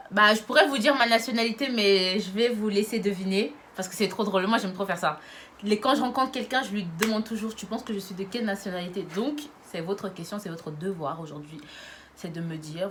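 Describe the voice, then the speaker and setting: A woman's voice: medium pitch, a bit bright, slight background noise. A woman in her twenties from around Lyon, chatting casually to her camera with frequent fillers and giggles. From Lyon area, France. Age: 20s. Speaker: YouTuber. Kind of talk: casual vlog chat.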